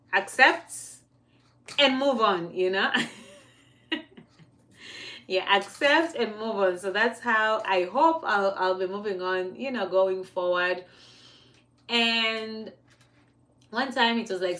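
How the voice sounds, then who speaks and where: A Kenyan woman hosting a relaxed livestream, speaking to her viewers in a chatty, encouraging way. She laughs often and stops to fix her wording as she goes. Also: medium pitch. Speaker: livestream host. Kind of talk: casual motivational livestream.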